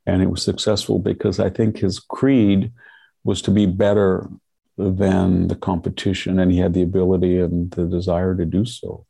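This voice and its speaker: An older man from California, stuffed up with a cold, giving measured, lecturing political commentary in a podcast conversation. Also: low-pitched, flat delivery, gravelly, mellow, breathy edge.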